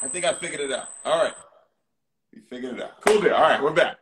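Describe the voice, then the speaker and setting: A man's voice: a little high, quick pace, heavy background noise. A man hosting a live online motivational talk, repeating key phrases, asking rhetorical questions and checking in with his listeners often. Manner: repetitive, rhetorical questions, frequent "all right?" check-ins. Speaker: motivational speaker, live stream host. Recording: roomy, echoey sound.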